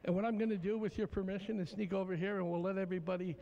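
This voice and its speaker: A man's voice: sits high, level tone, racing, vocal fry, mellow, lo-fi sound. An older man from Pennsylvania hosting a live telethon, chatting folksily with plenty of fillers. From Tamaqua, Pennsylvania. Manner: folksy, hesitant with many "uh" fillers. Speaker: telethon host. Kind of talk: live TV interview.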